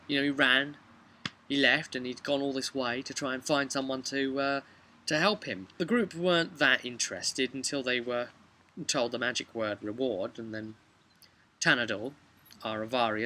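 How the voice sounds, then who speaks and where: A man's voice: medium pitch, sharp tone, poor audio. A British man in his thirties casually recounting a tabletop game session in a rambling, conversational way, recorded outdoors. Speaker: vlog host and game master. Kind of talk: casual recap of a tabletop role-playing session. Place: outdoors on a bench by a brick house. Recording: outdoor recording with clear background noise.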